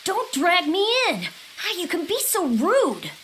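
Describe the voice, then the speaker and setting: A woman's voice: very high-pitched, wildly swooping pitch, measured pace, a bit bright, slight background noise, exaggerated voice. A woman playing a proud, guarded exiled boy prince of about ten in an anime audio drama, haughty and introspective. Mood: flustered, grudging.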